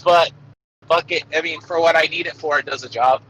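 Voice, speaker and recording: A man with a high, shrill, bright-edged voice trades crude jokes and swears freely. He talks from inside a truck cab through a Bluetooth headset, so the sound is tinny, with faint engine noise underneath. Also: fairly even intonation. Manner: crude, swears constantly, quick laughs. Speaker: podcast co-host calling in. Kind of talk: crude casual banter. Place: truck cab while working.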